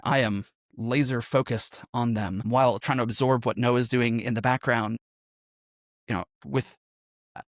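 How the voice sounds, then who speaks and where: A man's voice: mid-range voice, no echo, studio quality. A man speaking as a podcast guest in a conversational interview, explaining things in a thoughtful way. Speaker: podcast guest, musician and role-playing game performer.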